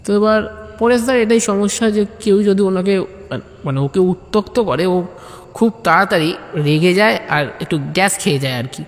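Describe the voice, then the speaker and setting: High-pitched man storytelling in reverb. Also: animated, brisk. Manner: chatty, digressive, addresses listeners directly. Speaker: storyteller and narrator. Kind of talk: informal first-person comic storytelling, audio story. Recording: long hall reverb, faint background noise.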